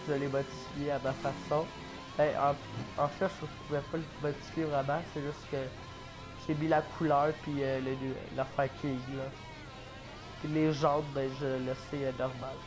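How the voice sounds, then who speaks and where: A Québécois man with a head cold talks through a video game in a slightly high, flat voice. His delivery is halting, full of fillers and restarts, with a stuffy, coughing edge throughout. Game music plays clearly beneath him. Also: rough recording. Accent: Québécois French. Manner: hesitant, many fillers and restarts. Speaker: gaming YouTuber. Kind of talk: casual gameplay commentary.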